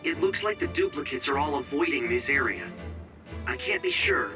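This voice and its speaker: An actor playing an earnest young mission support operator in an anime-style video game, focused and informative. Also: male voice, medium pitch, wildly swooping pitch, moderate pace, over music. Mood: intent, cautious.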